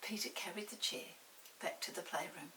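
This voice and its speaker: A woman's voice: on the low side, normal speed, pushed and bright, in a whisper. An older woman narrating a children's story expressively, with dramatic touches. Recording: distant mic with hiss.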